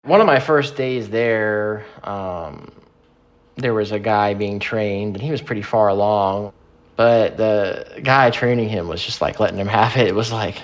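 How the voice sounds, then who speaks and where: A man's voice: fairly low pitch, unhurried. A man being interviewed, casually recalling his own experience in everyday speech. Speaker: interviewee, former trainee. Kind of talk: casual first-person recollection.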